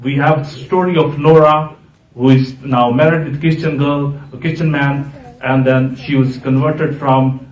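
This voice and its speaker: A man's voice: mid-range voice, darkish tone, far from the mic, dull audio. A man, speaking earnestly on a conference panel, often stopping to correct himself. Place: large hotel conference hall. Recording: echoey hall, voice from off the mic.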